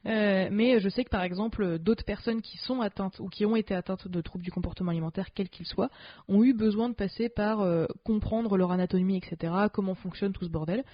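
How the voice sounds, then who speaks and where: A woman's voice: mid-range voice, a little fast, no echo. A woman co-hosting a podcast in casual chat, talking loosely with slang, fillers and restarts. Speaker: podcast co-host. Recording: dull lo-fi podcast sound.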